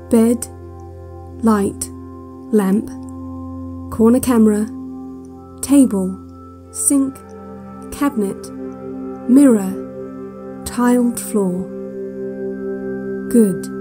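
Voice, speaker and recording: Female guided-relaxation instructor, audio drama, slightly high voice, unhurried, controlling, deadpan calm, faint music behind. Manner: measured, soothing, deadpan instruction.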